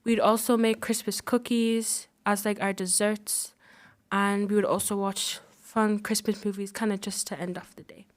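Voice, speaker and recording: A woman's voice: mid-range voice, level tone, normal speed. A teenage female student from the Republic of the Congo, giving casual answers as an interview guest. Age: teens.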